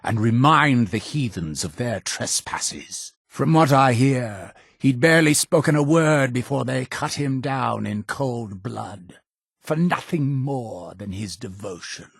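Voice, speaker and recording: A zealous male cult leader for a video game. His delivery swings widely in pitch, grand and deliberate like preaching to the faithful. The voice is solemn and fanatical, recorded dry and close. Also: lively intonation, creaky, breathy edge, no room sound, exaggerated voice. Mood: solemn, measured. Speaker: game character voice actor. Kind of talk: theatrical video game dialogue.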